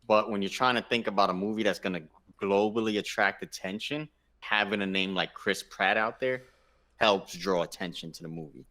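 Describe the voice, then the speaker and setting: A man with a low voice and a bright, punchy tone chats casually as a gaming show co-host. He leans on his points and repeats phrases for emphasis. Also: moderate pace. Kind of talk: casual panel chat.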